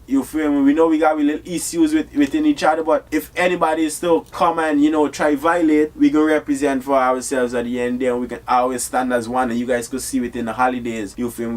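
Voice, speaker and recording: A man in his twenties with a Guyanese accent, reviewing a song in a laid-back vlog and often asking "you feel me?". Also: a little high. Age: 20s. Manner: laid-back, repeats "you feel me?", excited about going home. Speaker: music reviewer. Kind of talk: casual music review vlog. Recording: voice somewhat distant from the mic.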